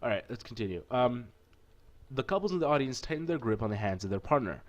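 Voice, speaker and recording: Mid-pitched man narrating a visual novel playthrough. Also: expressive, fast talker, lo-fi sound. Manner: informal, self-correcting, joking asides. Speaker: let's play narrator.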